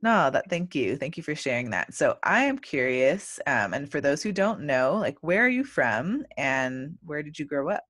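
A woman's voice: sits low, sing-song, moderate pace, few pauses, a bit bright, no echo. Woman podcast host, casual interview, lots of fillers, dry close-up sound.

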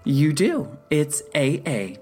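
A woman's voice: low voice, very animated, slow pace, a little mellow, soft background music, voice pushed up, full character voice. A woman voicing a polite but firm female nurse in an audiobook, with an insistent manner.

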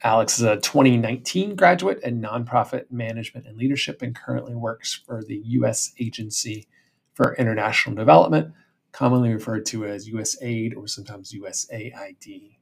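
Guy hosting a podcast, a bit gravelly, friendly, kinda halting with lots of repeats. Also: medium pitch. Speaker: podcast host, professor. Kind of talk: casual interview chat.

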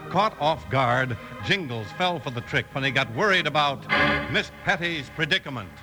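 A man's voice: on the high side, lively intonation, moderate pace, rough recording. An American man announcing and narrating a 1950s radio western in a dramatic, scripted style. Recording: old-time radio recording with loud music. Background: loud music.

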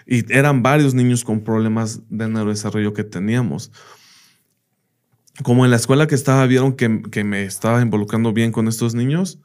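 A man's voice: mid-range voice, fairly even intonation, brisk, a little mellow. A man whose first language is English, a teacher and podcast guest, telling anecdotes in a casual interview, often saying "bla, bla" and "¿me entiendes?".

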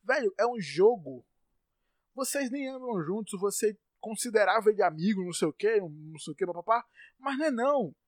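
A Brazilian man rants on his solo podcast, his fairly high voice jumping into loud outbursts before he tries to calm himself. He stumbles and repeats words when worked up. The sound is dry and close, with no room around it. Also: fairly quick, dry recording. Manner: exasperated ranting with sudden loud outbursts. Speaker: podcast host.

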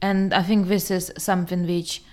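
A woman with a somewhat low voice. She talks through her opinions fluently in casual discussion, with plenty of filler sounds. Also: level tone, normal speed, darkish tone. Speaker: podcast co-host.

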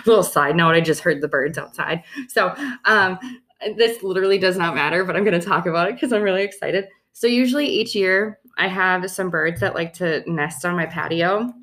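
A woman's voice: on the low side, fairly quick, fairly bright tone. A woman talks in a loose, chatty way, laughing often and filling her sentences with "like" and "um". A head cold leaves her voice stuffy and nasal. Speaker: podcast co-host. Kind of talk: casual chat.

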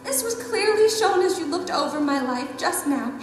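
A very high, swooping female voice plays a bitter, desperate fallen teacher in an audio drama. She is melodramatic, indignant and pleading, heard in a very echoey hall with music behind. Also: expressive, normal speed. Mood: desperate, disbelieving. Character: desperate, bitter fallen woman teacher on trial before a king.

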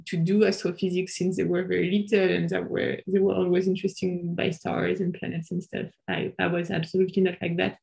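A woman's voice: medium pitch, creaky. French-accented woman, thoughtful and hesitant. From France. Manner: thoughtful, hesitant, frequent laughs and fillers. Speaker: planetary scientist guest. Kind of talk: casual interview answers.

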